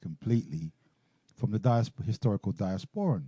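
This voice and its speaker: A man hosting a radio talk show, asking questions in a measured way, often halting and restarting. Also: lowish voice, lively intonation, warm tone, husky, no room sound.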